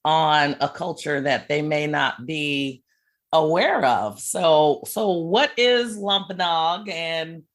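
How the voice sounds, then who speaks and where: A woman's voice: low voice, slow. A middle-aged woman hosting a podcast interview, casual and encouraging with her guest. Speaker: podcast host.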